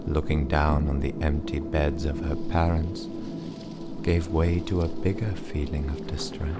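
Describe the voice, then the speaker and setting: A man with an extremely low voice tells a bedtime story. His delivery is slow, measured and suspenseful, over prominent music. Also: level tone. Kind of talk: dramatic bedtime story narration.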